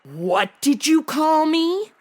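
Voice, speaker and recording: A woman's voice: high voice, sing-song, takes it slowly, darkish tone, heavily acted. A woman playing a sassy, irreverent modern young woman in a comedic audio drama, slangy and full of wry delivery. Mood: feisty, indignant.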